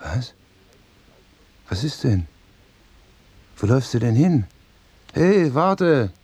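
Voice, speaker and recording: Radio drama villain: menacing bully, dark creaky voice in a dry studio, commanding and scornful. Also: male voice, medium pitch, sing-song, takes it slowly, dry recording, exaggerated voice. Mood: startled. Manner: contemptuous, commanding. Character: cruel, menacing male bully sneering at a weaker man.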